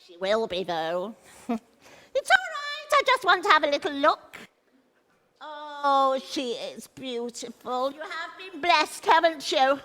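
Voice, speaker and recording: Vengeful panto witch, bright voice swooping all over, taunting, very theatrical. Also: high voice, very animated, slow pace, exaggerated voice. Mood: mock-sweet menace. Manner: taunting, grandly dramatic. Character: bitter vengeful witch cursing a royal baby. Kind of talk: theatrical pantomime stage acting. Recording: reverberant hall, live stage recording.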